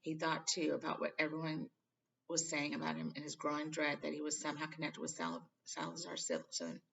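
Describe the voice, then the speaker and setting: A woman's voice: low-pitched, brisk, vocal fry, a bit bright, a little hiss or hum. A woman reading a children's novel aloud as narrator, recorded on a dull, distant home microphone. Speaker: storybook reader.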